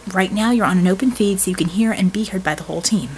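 Woman voicing an earnest, quick-witted young woman, noisy recording. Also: mid-range voice, darkish tone, close to an everyday voice. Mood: brisk, explanatory. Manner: explanatory, assertive, dry wit. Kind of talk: audiobook character dialogue.

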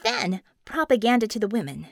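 Female narrator as sly confident heroine, high voice, very animated, persuasive, emphatic, audiobook character. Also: a little fast, vocal fry, dry recording, clean studio sound, full character voice. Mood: emphatic persuasion. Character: confident, sly, persuasive American superheroine leader.

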